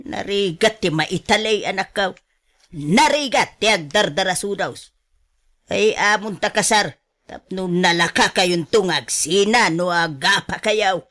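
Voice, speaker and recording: An actress plays a blunt mother in a radio drama, speaking at a very unhurried pace. She scolds and grumbles about her grown son's choices, stretching out her words in disapproval. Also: mid-range voice, fairly bright tone, close to an everyday voice. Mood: disapproving. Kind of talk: Ilocano radio drama acting.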